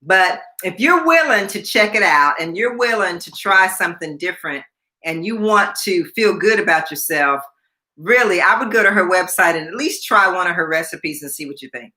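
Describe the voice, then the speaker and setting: A woman's voice: lowish voice, lively intonation, moderate pace, sharp tone. A woman in her sixties hosting a casual live stream, chatting, repeating herself and reading viewer comments aloud. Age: 60s. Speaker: livestream host.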